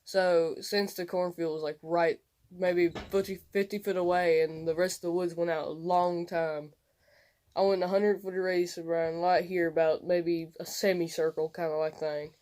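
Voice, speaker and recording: A young man recounting an experience casually and excitably in colloquial speech. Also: high-pitched, normal speed. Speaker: co-host telling a personal story. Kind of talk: casual storytelling chat.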